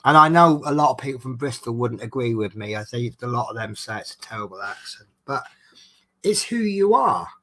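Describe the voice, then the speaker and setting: A man's voice: mid-range voice, animated, a little fast, pushed and bright. A man with a Norfolk accent, chatting casually and rambling with lots of ums while doing a craft at home. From England. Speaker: vlogger.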